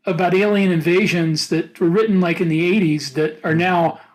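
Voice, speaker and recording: A man's voice: sits high, steady tone, moderate pace, low-quality recording. A man co-hosting a podcast, chatting informally as one of a panel. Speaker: podcast co-host. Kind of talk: casual panel chat.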